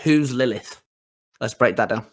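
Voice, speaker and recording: A man's voice: medium pitch, unhurried. Male podcast host, mid-range voice, casual conversational questioning and commentary.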